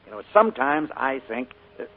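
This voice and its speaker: An actor in 1940s radio acting, playing a charming, secretive uncle who turns sharp when crossed, on a tinny old broadcast. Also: male voice, mid-range voice, animated, brisk, slight background noise, close to an everyday voice. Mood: genial. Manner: genial, then sharp and forceful when crossed. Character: smooth, secretive male uncle with a menacing edge.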